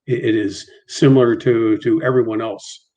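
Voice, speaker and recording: A man's voice: mid-range voice, steady tone, dark tone. A middle-aged man who stutters, speaking as a guest on a casual video call with many repeats and restarts. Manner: halting, frequent repetitions and restarts, mild stutter.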